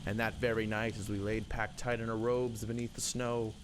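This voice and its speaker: A man's voice: fairly low pitch, level tone, normal speed. A man giving a theatrical, rhythmic, emphatic recitation of a narrative poem. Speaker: poem reader. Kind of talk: dramatic narrative poetry recitation. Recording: loud background noise and ambience.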